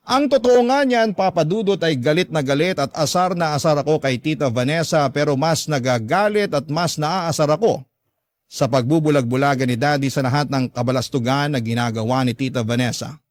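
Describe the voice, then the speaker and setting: A man's voice: on the high side, fairly quick, no echo. A man with a Filipino accent narrating a first-person story as a radio host, in an earnest, confiding and polite way.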